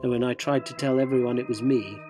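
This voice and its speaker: A man's voice: medium pitch, steady tone, fast talker, over music, close to an everyday voice. A man playing a cynical, self-loathing tabloid journalist in a radio drama, wry, wordy and theatrical. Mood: wry narrating. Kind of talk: radio drama narration and dialogue.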